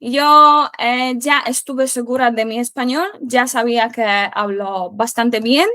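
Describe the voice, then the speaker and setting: A young woman from Poland with a Polish accent, a native Polish speaker answering interview questions in a halting way as she searches for words. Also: fairly high pitch, bright, forceful tone, no echo, studio quality. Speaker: guest, Spanish teacher.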